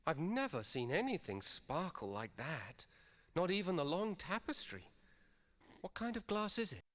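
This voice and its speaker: An actor playing an earnest, curious young weaver in a fantasy game, wide-eyed and inquisitive. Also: male voice, on the high side, sing-song, moderate pace, fairly bright tone, dry recording. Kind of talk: game voice acting.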